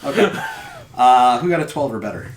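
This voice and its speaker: Expressive man running a tabletop game, roomy sound. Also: medium pitch, a little fast, noisy background. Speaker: game master. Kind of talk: improvised narration of a tabletop game, casual.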